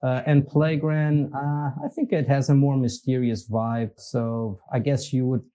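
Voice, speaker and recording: A man's voice: medium pitch, fairly even intonation, a little slow, mellow. A man with a Portuguese accent, speaking as a guest in a casual interview, easygoing and meandering, chuckling at himself now and then. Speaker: podcast guest, company executive.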